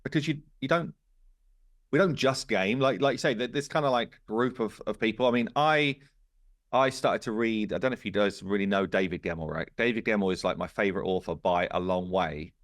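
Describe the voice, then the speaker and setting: A man's voice: lowish voice, a little fast, no room sound. Earnest man chatting casually, dry close sound. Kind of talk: casual podcast conversation.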